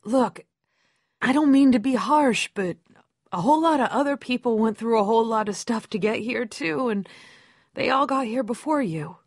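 A kindly woman guarding the gate of a survivor camp in a video game. Her voice sits on the high side and sounds very dry. She speaks in a folksy, motherly, hesitant way, as someone apologetic by nature. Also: lively intonation, normal speed, a little mellow, no echo. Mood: apologetic, gentle. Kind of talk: dramatic video game dialogue.

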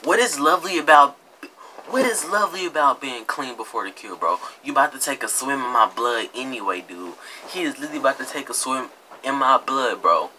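A man's voice: medium pitch, animated, brisk, sharp tone, a little hiss or hum, low-quality recording. A young American man giving casual, slangy comedic commentary, mocking and exasperated, often repeating himself. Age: young adult. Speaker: reaction video host. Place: indoor room with green screen.

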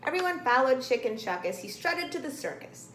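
A woman's voice: high voice, sing-song, a little fast, a bit bright. A middle-aged woman reading a storybook aloud to children expressively, recorded in a small room. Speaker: storybook reader. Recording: roomy, some background noise.

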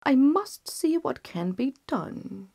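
Woman putting on a booming wrathful old king. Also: very animated, slow, a little mellow, heavily acted. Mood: suspicious. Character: angry gullible old king, male.

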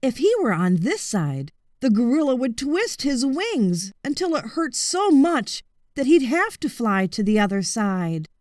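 A woman reads a children's story as an audiobook narrator. Her voice is fairly high and very animated in pitch, with measured, slow phrasing that gives each sentence room. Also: dry recording, studio quality.

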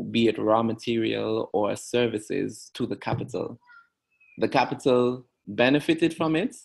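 A young man with an Antiguan accent answering interview questions in a measured, reflective way. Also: mid-range voice, creaky. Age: young adult. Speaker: podcast guest. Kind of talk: thoughtful interview talk.

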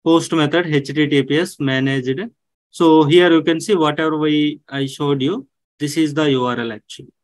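A man in his thirties walking viewers through a software task on screen, step by step, with many "okay" and "so" fillers. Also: a little high, steady tone, normal speed, no room sound. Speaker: online tech instructor. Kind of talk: screen-share tutorial walkthrough. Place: indoors at a desk.

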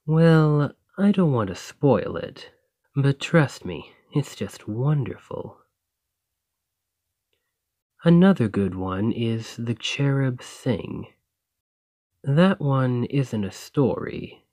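A man playing a gentle, bookish, lovestruck dragon librarian in a romantic ASMR roleplay, speaking softly and earnestly with a slightly shy manner. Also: medium pitch, no room sound, studio quality.

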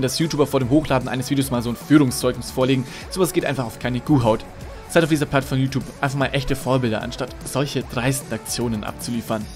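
A young man hosting a commentary video, delivering scripted narration in an indignant, sarcastic, lively way over faint music. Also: mid-range voice. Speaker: YouTube commentary host.

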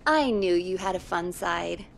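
An actress playing a perky, teasing radio producer in a video game, playful and bubbly. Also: female voice, lowish voice, lively intonation, unhurried, vocal fry, soft background music. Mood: upbeat, instructing. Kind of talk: game voice acting.

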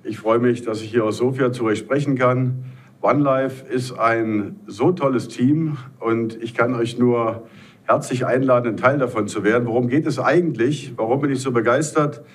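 Husky german guy in his 40s doing a pitch, earnest. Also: normal speed. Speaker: network marketer. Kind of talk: promotional panel talk. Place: indoor event room.

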